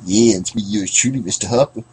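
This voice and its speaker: A performer voicing an excitable male toy character in a slow, deep put-on voice. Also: close to an everyday voice. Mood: excited.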